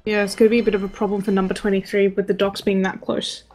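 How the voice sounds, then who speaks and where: A woman's voice: medium pitch, flat delivery, fast talker, darkish tone, faint music behind. A woman giving live, conversational commentary on a video game match. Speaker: esports match caster.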